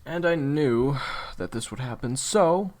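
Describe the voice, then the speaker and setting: A man playing a jaded, blunt monster hunter in an audio roleplay, speaking frankly and earnestly to a rookie, with profanity and halting delivery. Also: medium pitch, expressive, darkish tone, lightly acted. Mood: earnest, weary. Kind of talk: dramatic audio roleplay monologue.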